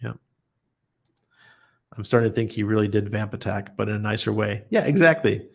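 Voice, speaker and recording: Gravelly, husky-voiced man hosting a livestream. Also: fairly low pitch, a little fast. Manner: blunt and chatty, laughs often. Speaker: livestream host. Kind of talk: casual livestream chat, reading viewer comments.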